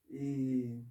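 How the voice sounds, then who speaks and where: A man in his forties from northeastern Brazil, an interview guest talking casually and reflectively, in a halting way. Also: medium pitch, warm tone, far from the mic, muffled recording. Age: 40s. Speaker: interview guest, saxophonist and composer. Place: music studio.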